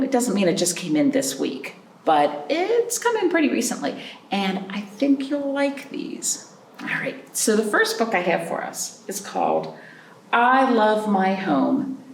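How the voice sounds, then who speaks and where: A woman hosting a library storytime, reading picture books and chatting to young children in an animated way with simple questions. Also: mid-range voice, gravelly. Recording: roomy, distant mic with background noise.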